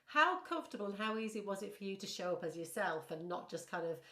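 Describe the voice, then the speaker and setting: A woman with a northern English accent hosts a podcast interview, encouraging her guest with her questions. Her mid-pitched voice carries a roomy echo. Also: expressive, quick pace. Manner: warm and encouraging, asking questions. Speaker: podcast host.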